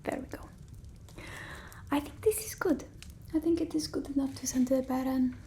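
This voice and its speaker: A woman playing a haughty but fond vampire mistress in an ASMR roleplay, speaking softly and breathily, often whispering, formal and hesitant. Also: sits high, steady tone, a little hiss or hum. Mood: politely imperious. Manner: slow, hesitant, formal, politely imperious.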